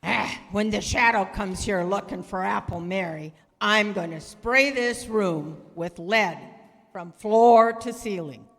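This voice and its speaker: A woman's voice: medium pitch, slow pace. Woman as blunt, menacing gangster in echoey hall. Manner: blunt, streetwise. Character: menacing, impatient male gangster with tommy gun. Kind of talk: 1930s radio acting. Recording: echoey hall, long reverb.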